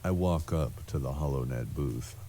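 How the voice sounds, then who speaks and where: Very deep, brooding noir antihero. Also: male voice, moderate pace, slightly rough, full character voice. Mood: weary, guarded. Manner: deadpan, brooding. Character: world-weary cynical male cyberpunk noir antihero, brooding narrator. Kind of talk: noir monologue voice acting in an adventure game.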